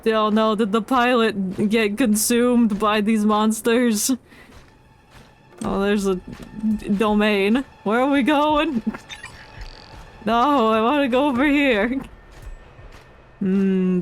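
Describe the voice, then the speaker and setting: A woman's voice: mid-range voice, fairly even intonation, slow pace, long pauses. A woman with a congested voice giving playful, chatty, casual live commentary as a game streamer. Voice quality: congested, stuffy nose. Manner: playful, chatty, mock-indignant. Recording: faint game music behind. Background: game audio and music.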